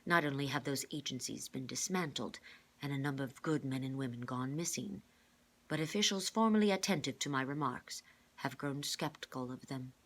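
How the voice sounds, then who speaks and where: A woman voicing a grave, gentle older male mentor with a measured, formal way of speaking, reading a children's storybook aloud. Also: very deep voice. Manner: measured, formal, careful wording.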